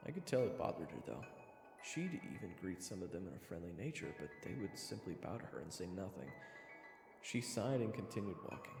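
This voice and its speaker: A man's voice: low-pitched, gravelly, heavily acted. A man playing an earnest, candid human traveler in a fantasy audio roleplay, speaking casually and expressively. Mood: wondering narration. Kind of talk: audio roleplay acting with first-person narration.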